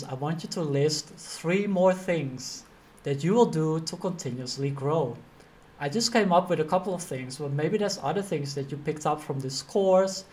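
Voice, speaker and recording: Dutch accent guy, kinda high voice, earnest career coach talking straight to you in an online course. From Netherlands. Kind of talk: motivational online course lesson, informal direct address.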